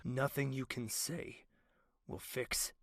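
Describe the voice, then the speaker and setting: A man's voice: mid-range voice, fairly even intonation, slow, gravelly, a bit bright, no room sound, studio quality, heavily acted. A man playing a proud, betrayed young royal in an audio drama, intense and imperious, with bitterness that can turn volatile. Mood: bitter.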